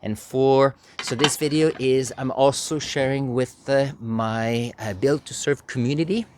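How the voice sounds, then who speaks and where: A man giving a storytelling speech as an amateur speaker, halting, with dramatic pauses and emphasis. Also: mid-range voice, fairly even intonation, background noise. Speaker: amateur public speaker practicing a contest speech. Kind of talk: animated storytelling speech, tall tale.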